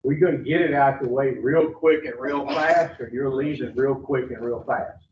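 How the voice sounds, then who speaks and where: Blunt counselor, thin echoey sound. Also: male voice, medium pitch, moderate pace. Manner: blunt, confrontational, drawling. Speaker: addiction treatment intake counselor. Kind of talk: blunt intake interview. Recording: far-off phone-like audio in an echoey room.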